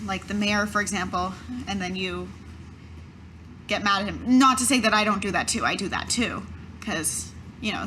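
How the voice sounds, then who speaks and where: A woman playing a tabletop game, speaking in character in a conversational, halting way. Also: medium pitch, sharp tone, slight background noise. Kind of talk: improvised in-character roleplay in own voice.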